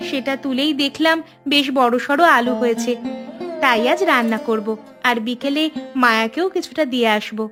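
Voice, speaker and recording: A woman's voice: fairly high pitch, normal speed, music in the background, natural voice. A woman voicing a generous, patient elder sister-in-law in a village cartoon, homely and reassuring. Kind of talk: cartoon voice acting.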